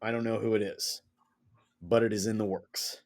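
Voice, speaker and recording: A man's voice: lowish voice, level tone, moderate pace. A man co-hosting a podcast, chatting in an easygoing, dryly joking way. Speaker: podcast co-host. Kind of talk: casual chat.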